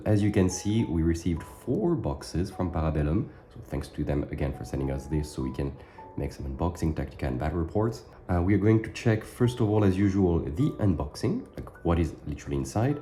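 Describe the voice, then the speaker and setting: Deep-voiced man, sick. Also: dark tone. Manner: chatty, thinks aloud with small self-corrections. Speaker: wargaming channel host. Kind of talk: casual unboxing commentary. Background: background music.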